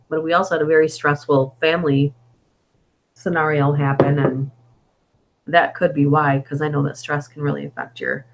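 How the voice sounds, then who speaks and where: A woman hosts a casual live stream, reading stories and chatting between them. Her voice is hoarse, as if it is wearing out. She thinks aloud and wanders from point to point. She speaks into an inexpensive desktop microphone. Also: sits low, poor audio. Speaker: story reader and live streamer. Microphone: budget desktop microphone.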